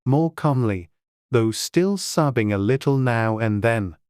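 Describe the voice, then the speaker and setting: A woman's voice: rumbling low, animated, unhurried, a little mellow, no echo, studio quality. A woman reading scripted storybook narration as an audiobook narrator.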